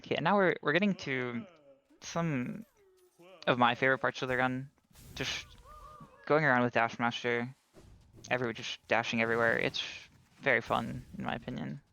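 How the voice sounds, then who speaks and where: A man calls a live speedrun race for an online audience. His voice sits at an average pitch, and he talks in a relaxed, analytical way, breaking down routes and strategy between small asides. He sounds easygoing and knowledgeable, like a regular of the show. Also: moderate pace, vocal fry, long pauses. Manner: casual, analytical, explains strategy, occasional laughs. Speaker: commentator. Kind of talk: live esports commentary.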